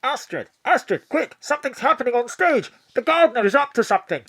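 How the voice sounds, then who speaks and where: A woman voicing an excitable sidekick who reports events urgently and breathlessly. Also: sits high, brisk, exaggerated voice. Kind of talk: radio play acting.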